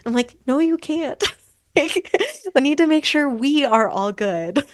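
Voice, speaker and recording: A young adult woman therapist speaking candidly as a guest, animated as she voices her own thoughts. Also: high-pitched, unhurried, dry recording, studio quality. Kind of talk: candid conversational interview.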